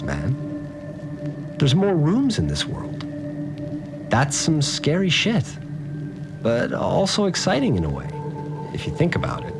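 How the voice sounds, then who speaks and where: Deep rattled survivor dude, slangy sweary audio diary, rambling and animated, music behind, podcast drama. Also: male voice. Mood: intrigued, uneasy. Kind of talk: casual confessional audio-diary monologue.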